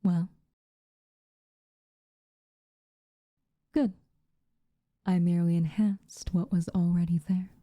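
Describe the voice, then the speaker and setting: A woman voicing a guarded, haughty yet secretly tender witch in an ASMR roleplay, softly and breathily, with slow, formal phrasing. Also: on the low side, fairly even intonation, no room sound, studio quality. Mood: flustered, grudgingly tender. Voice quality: soft, breathy, often near-whispered. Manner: slow, formal, measured wording with reluctant warmth.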